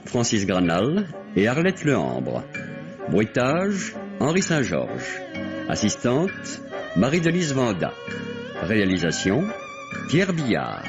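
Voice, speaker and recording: A man announcing credits in a formal, measured, declamatory old radio style. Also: lowish voice, huge pitch swings. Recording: muffled old radio broadcast recording. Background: loud music.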